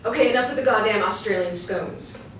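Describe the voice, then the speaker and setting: A woman's voice: medium pitch, brisk, fairly bright tone, lightly acted. A woman in her thirties at a script reading, playing a blunt, foul-mouthed, wealthy wife. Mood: exasperated. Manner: blunt, combative. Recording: echoey room, distant mic, faint noise.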